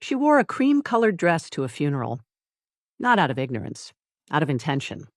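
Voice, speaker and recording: A narrator reading a scripted dramatic story in measured, deliberate short phrases with pauses for effect. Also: medium pitch, huge pitch swings, dry recording, studio quality.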